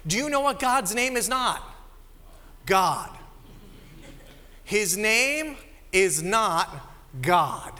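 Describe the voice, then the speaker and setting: A man preaching a sermon to a congregation, with a nasal voice and a conversational, animated way of explaining, caught live in a church. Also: high voice, very slow, long pauses. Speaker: pastor. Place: church sanctuary. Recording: live sermon in a reverberant hall.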